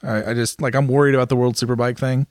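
A man's voice: medium pitch, little pitch movement, fast, dry recording, studio quality. A man co-hosting a motorsport podcast, chatting casually in a rambling, self-deprecating way with frequent restarts. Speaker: podcast co-host, motorcycle news site owner. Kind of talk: casual chat.

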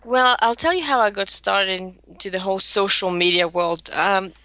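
A woman's voice: medium pitch, moderate pace, sharp tone, no room sound. A woman in her thirties who grew up in Greece, a guest telling her story in a chatty interview. She often restarts her sentences. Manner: chatty, many restarts and laughs. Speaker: guest, social media marketer.

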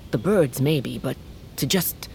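An actress playing a stern, burdened queen of a wolf tribe in an audio drama, speaking in a grave, measured, formal way. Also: extremely low pitch, lively intonation, creaky, darkish tone, slight background noise, full character voice. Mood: worried, brooding. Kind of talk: audio fiction drama acting.

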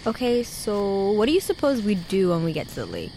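Woman acting as a wry, very expressive young camper. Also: mid-range voice, a little fast, a little hiss or hum, poor audio. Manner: wry, casual, teasing. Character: sarcastic, sceptical but caring young woman camper. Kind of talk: naturalistic audio drama acting.

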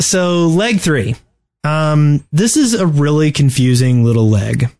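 A man co-hosting a podcast, talking through game strategy casually and haltingly as he thinks aloud. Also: fairly high pitch, darkish tone. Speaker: podcast host.

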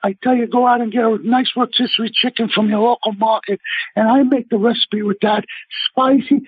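A high-voiced man with a thick Brooklyn accent talks over a tinny phone line. His voice is husky, and his delivery is punchy and sales-pitchy as he banters with a radio host. The sound is dry and close. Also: fairly even intonation, fairly quick, dry recording. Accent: Brooklyn New York. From Brooklyn, New York. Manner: chatty, emphatic, salesman-like banter. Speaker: celebrity chef guest. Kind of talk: casual phone-in radio chat. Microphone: telephone.

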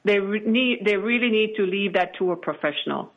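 A woman's voice: mid-range voice, a little fast, phone-quality sound. A woman guest in a conversational interview, explaining things emphatically and repeating phrases as she goes. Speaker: podcast guest, online book platform founder.

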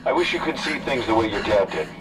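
A man playing a calm, calculating antagonist in an audio drama, speaking in a measured, smug, self-righteous way. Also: mid-range voice, background noise, close to an everyday voice. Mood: regretful, insistent. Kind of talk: audio fiction drama acting.

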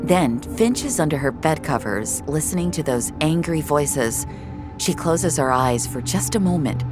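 A woman with a very deep voice narrates a dramatic piece of audio fiction. Her delivery is lively in pitch, and music plays clearly behind her. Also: measured pace.

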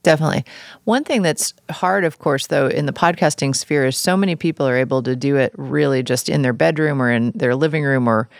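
A woman's voice: very deep voice, fairly quick, gravelly, dry recording, clean studio sound. A woman hosting an interview podcast, speaking as presenter and interviewer. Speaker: podcast host. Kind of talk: podcast interview and sponsor reads.

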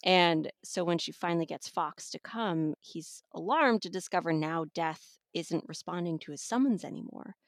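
A woman's voice: on the low side, moderate pace, few pauses, no room sound, clean studio sound. A woman talking enthusiastically about an audiobook as an interview guest, laughing now and then. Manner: enthusiastic, conversational, laughs often. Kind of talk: casual interview chat.

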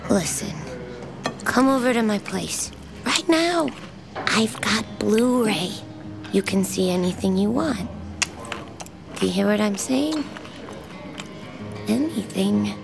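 A woman playing a warm, flirty, persistent diner waitress in a naturalistic audio drama, with a folksy, coaxing way of talking. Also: medium pitch, lively intonation, takes it slowly, vocal fry, pauses often, music in the background. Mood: hurt, insistent.

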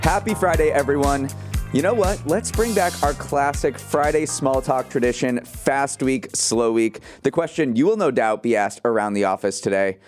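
A man's voice: mid-range voice, moderate pace, faint music behind. A man co-hosting a podcast, delivering an upbeat intro and banter. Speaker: podcast co-host.

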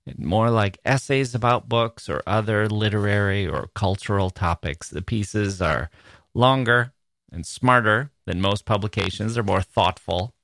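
A man's voice: fairly low pitch, a little slow, gravelly, fairly bright tone, no echo. A middle-aged American man hosting a podcast in a casual, chatty, wry monologue on a close microphone. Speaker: podcast host.

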